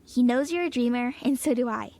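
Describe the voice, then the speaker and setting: A woman voicing a gentle, motherly, matter-of-fact twelve-year-old girl in an audio drama. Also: fairly high pitch, brisk, lightly acted. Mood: fond, reassuring.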